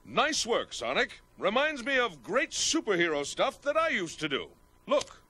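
A man's voice: high-pitched, wildly swooping pitch, a little slow, bright, forceful tone, slightly rough, full character voice. A man playing a boastful old raccoon superhero in a cartoon, with a pompous, grandly theatrical delivery. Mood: proud. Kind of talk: mock-heroic cartoon acting.

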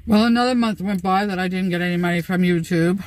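A woman's voice: lowish voice, fast talker, a little mellow. An older woman chatting casually as a vlogger inside a parked van. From Canada. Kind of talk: casual chat.

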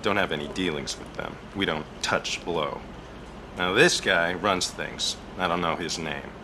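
Deep-voiced, calm crime informant. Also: male voice, background noise. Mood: measured. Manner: measured, understated, informative. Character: calm, matter-of-fact male underworld informant. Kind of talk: video game cutscene acting.

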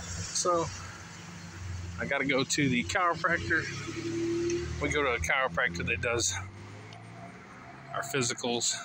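A man's voice: medium pitch, fairly even intonation, unhurried, pauses often, over music, low-quality recording. A middle-aged man talking casually into a phone held at arm's length while walking outdoors down a suburban street, rambling along easygoing with lots of fillers. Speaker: vlogger. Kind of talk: casual walking vlog monologue.

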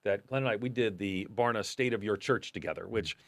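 A man's voice: lowish voice, fairly quick, no room sound. Conversational middle-aged male podcast host. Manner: conversational, rambling, with restarts. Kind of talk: interview hosting. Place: podcast studio. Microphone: desk boom mic.

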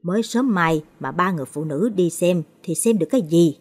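A woman's voice: on the low side, expressive, a little fast, a little mellow, breathy edge. A woman reading the part of a grumpy old male village shaman. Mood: grumbling.

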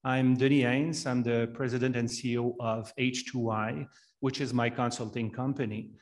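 A man's voice: medium pitch, level tone. French Canadian man introducing himself. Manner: halting, many ums and uhs. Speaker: panelist. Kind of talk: self-introduction on a panel. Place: conference room with large window. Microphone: handheld mic.

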